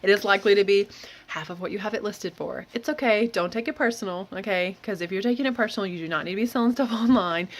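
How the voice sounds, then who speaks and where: A woman's voice: medium pitch, fast. A woman with a slightly nasal head cold, chatting casually as a reseller vlogger giving straight-to-the-point tips. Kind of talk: casual how-to vlog.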